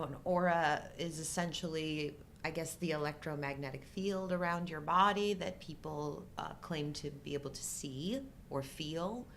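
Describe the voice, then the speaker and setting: A middle-aged woman co-hosting a casual live show, explaining things in a halting, hesitant way. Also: fairly low pitch, slight background noise. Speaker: co-host.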